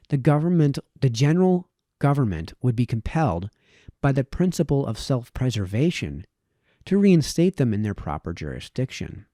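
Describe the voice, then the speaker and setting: Male reader, mid-pitched and mellow, measured formal delivery of essay text. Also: no echo, studio quality. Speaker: reader, host of a reading series. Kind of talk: reading a historical political essay aloud.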